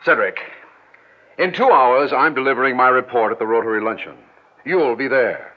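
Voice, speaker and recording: An actor playing a pushy, impatient small-town mayor with a bossy manner, in a 1940s radio drama. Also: male voice, mid-range voice, moderate pace, natural voice. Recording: muffled old radio broadcast.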